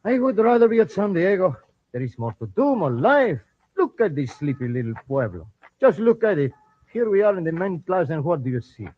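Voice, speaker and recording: A restless soldier in an old radio drama, dismissive and theatrical. His voice is high and swoops freely. The recording is dull and dry. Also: very animated, a little fast, no echo. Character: restless, unimpressed Spanish soldier, the hero's friend.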